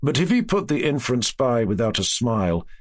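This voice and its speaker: A man's voice: medium pitch, sing-song, a little fast. A man reading a classic ghost story as scripted literary narration for an audiobook.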